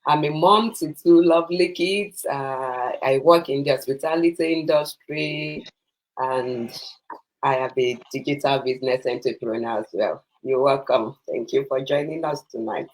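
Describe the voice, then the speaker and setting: A woman's voice: very deep voice, moderate pace, rough recording. A woman with a Nigerian accent co-hosting a podcast, politely introducing herself and putting questions to guests. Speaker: podcast co-host.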